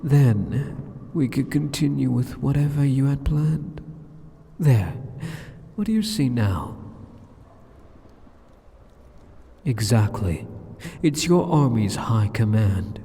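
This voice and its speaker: A man's voice: medium pitch, lively intonation, takes it slowly, creaky, mellow, slightly rough, heavily acted. A man voicing an arrogant, sadistic demon king in an ASMR roleplay, with a mocking, condescending and teasing manner. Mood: gloating. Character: sadistic, arrogant male demon king taunting a captive hero. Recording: long cavernous hall reverb.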